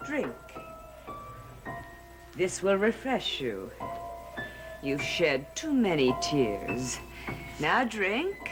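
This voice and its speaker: A slow, low, coaxing comforter. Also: female voice, exaggerated voice. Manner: soothing, deliberate, coaxing. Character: calm, coaxing woman comforting a distraught one. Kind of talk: gothic soap opera acting.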